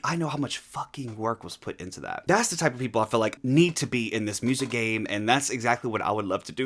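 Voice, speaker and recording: A man's voice: mid-range voice, a bit bright. A man hosting a reaction video, giving casual, opinionated commentary in an emphatic, outspoken way with free swearing.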